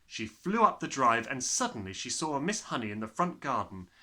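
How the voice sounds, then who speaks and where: A man reading a children's story aloud as an expressive narrator. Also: mid-range voice, moderate pace. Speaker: audiobook reader.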